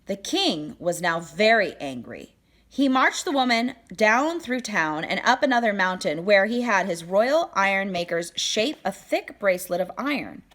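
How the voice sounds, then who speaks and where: A woman storyteller reads a children's picture book aloud. Her voice sits at a middling pitch, and she phrases deliberately, giving key words expressive, dramatic emphasis.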